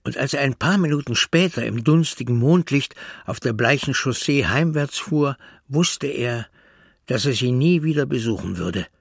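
A man narrating a literary radio drama in a measured, hushed storytelling manner. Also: medium pitch, expressive, creaky, slightly rough, dry recording, clean studio sound.

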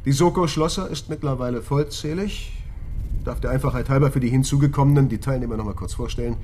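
An actor playing a formal senior police detective, brisk and officious, in a naturalistic radio crime drama. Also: male voice, mid-range voice, a little mellow, a little hiss or hum, natural voice.

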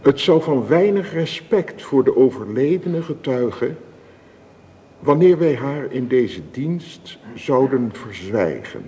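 Slow, solemn church minister. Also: male voice, medium pitch, sing-song, dark tone. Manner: solemn, measured. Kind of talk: formal sermon in radio drama. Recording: reverberant church acoustic, faint hiss.

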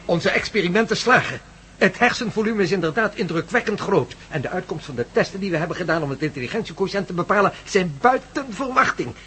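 Fussy professor in old radio drama, formal lecture voice, very animated pitch, bit of noise. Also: male voice, fast. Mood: confident, absorbed. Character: pedantic scholarly professor, confident and patient experimenter.

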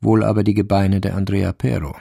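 A man's voice: deep voice, flat delivery, brisk, gravelly, mellow, breathy edge, no echo, clean studio sound, exaggerated voice. An actor playing a sober, wry male narrator, reading scripted literary narration in a radio crime drama.

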